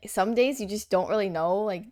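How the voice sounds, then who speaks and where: A woman's voice: medium pitch, brisk, darkish tone. A young woman co-hosting a podcast, talking candidly and casually with lots of "like" and laughter. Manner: candid, laughing often, filler "like". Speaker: podcast co-host. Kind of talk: casual chat.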